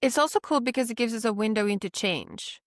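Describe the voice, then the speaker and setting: A woman whose first language is Swedish, co-hosting a language podcast in casual chat and telling stories with lively quoted voices. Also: fairly high pitch, brisk, no echo, clean studio sound. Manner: animated storytelling with acted quotes. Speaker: podcast co-host.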